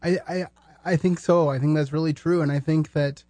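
A man hosting a podcast in an earnest, conversational style, speaking sincerely in measured short phrases. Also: a little high, fairly even intonation, mellow, no echo. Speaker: podcast host.

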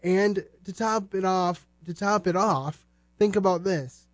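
Higher-voiced man hosting a podcast. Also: unhurried, dry recording. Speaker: podcast host. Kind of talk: conversational podcast presenting with religious commentary.

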